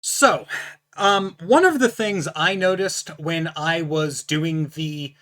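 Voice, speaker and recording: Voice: mid-range voice, takes it slowly, a bit bright. A channel host with a powerful, distinct voice, explaining practice rules to viewers in a blunt, emphatic, lecturing way. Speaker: channel host reviewing a book. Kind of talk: casual explanatory talk to camera.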